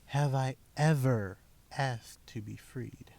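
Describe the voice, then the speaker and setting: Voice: mid-range voice, takes it slowly, gravelly, a little mellow. A performer playing an earnest young man in a radio drama. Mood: defensive. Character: earnest young male apprentice grown into greedy suitor.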